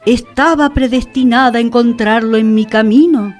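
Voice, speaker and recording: An actress playing a whimsical, touchy radio hostess in a fantasy radio play, with theatrical, florid phrasing. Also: female voice, on the high side, normal speed, darkish tone, faint music behind, lightly acted. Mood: dramatic wonder. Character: whimsical, touchy radio hostess living among enchantments and dragons. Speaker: radio show host. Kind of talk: scripted radio theatre dialogue.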